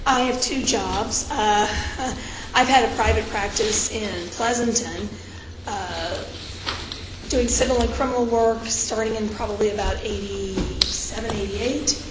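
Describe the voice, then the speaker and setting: Hoarse woman testifying firmly. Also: medium pitch, steady tone. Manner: firm, measured answers. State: hoarse from a cold. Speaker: character witness, attorney. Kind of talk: sworn testimony at a hearing. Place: hearing room. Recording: echoey room with background noise.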